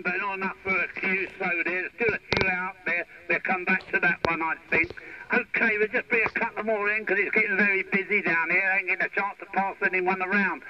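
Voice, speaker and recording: A man running a CB radio net, chatting with callers over a radio transmission. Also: sits high. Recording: muffled CB radio heard through loud noise.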